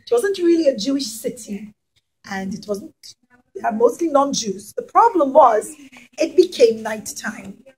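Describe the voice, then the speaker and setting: High-voiced woman telling children a story. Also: measured pace, poor audio. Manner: expressive, explanatory, addresses children directly. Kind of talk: interactive storytelling for young children.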